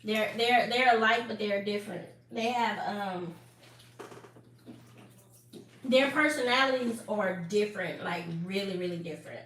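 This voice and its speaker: Bright, chatty woman in her forties. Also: medium pitch, unhurried, long pauses. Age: 40s. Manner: chatty, laughing, talks straight to viewers. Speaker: live-stream host. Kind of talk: casual chat with viewers. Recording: echoey room, mic at a distance, faint noise.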